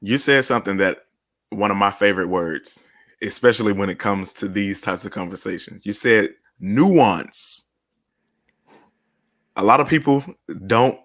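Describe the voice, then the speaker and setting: A man moderating a panel discussion as host, explaining deliberately and with emphasis. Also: on the low side, fairly bright tone, no echo, studio quality.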